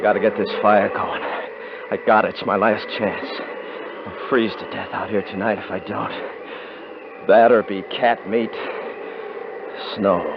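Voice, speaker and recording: A man's voice: on the low side, fairly even intonation, measured pace, long pauses. A man playing a tense young rancher who talks to himself in the snow and snaps at his brothers, in 1950s radio drama style. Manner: muttering to himself, gruff and scornful with his brothers. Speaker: lead actor in a radio play. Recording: hissy muffled 1950s radio broadcast.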